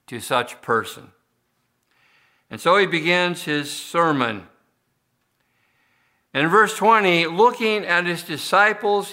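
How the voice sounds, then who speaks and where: A male pastor delivering an expository sermon at an unhurried, deliberate pace. His voice sits a little high for a man and rings bright and clear. He often backs up and restarts phrases as he works through the text. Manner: slow, deliberate expository preaching with frequent restarts.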